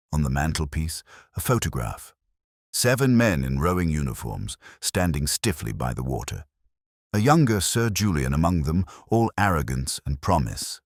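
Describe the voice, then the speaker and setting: A man with an extremely low voice reads a first-person detective story. His delivery is measured and reflective, with lively rises and falls in pitch, and the sound is dry and close, free of room echo. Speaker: narrator.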